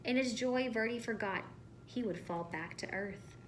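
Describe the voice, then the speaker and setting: A woman reading a storybook aloud to children as a teacher, expressive and pausing to ask questions. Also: on the high side, a little hiss or hum, low-quality recording.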